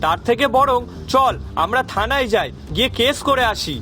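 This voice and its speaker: Casting: male actor for a gentle-natured village vendor in a cartoon drama, very high pitch, earnest delivery. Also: animated, moderate pace, exaggerated voice. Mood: concerned. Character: good-natured, timid married male village food vendor. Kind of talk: animated family drama voice acting.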